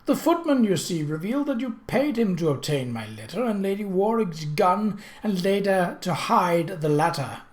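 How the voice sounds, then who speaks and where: A narrator voicing an imperious, mocking British prince in an audiobook, pompous and theatrical, with deliberate, drawn-out emphasis. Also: mid-range voice, animated, roomy sound, voice pushed up, lightly acted. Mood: brisk, pointed. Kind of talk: audiobook character voice.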